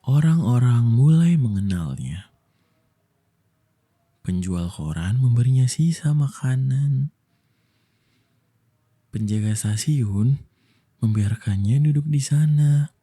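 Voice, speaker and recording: A young man gives a gentle, soothing ASMR bedtime delivery in a soft, breathy voice. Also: mid-range voice, expressive. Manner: slow, gentle, soothing delivery with long pauses. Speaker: ASMR voice actor telling a bedtime story as a boyfriend.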